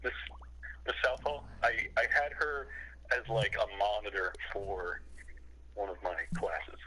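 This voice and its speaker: A young man talking casually, then reading aloud in a halting, self-conscious way over a voice call. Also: on the low side, lively intonation, bright, forceful tone, breathy edge, low-quality recording. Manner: hesitant, stumbling, self-conscious. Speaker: reader of creepypasta-style logs.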